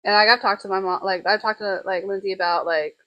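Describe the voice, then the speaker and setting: A woman's voice: medium pitch, fairly even intonation, quick pace, lo-fi sound. A woman in her twenties, a podcast guest in a tattoo studio, rambling through candid casual chat and laughing often. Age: 20s.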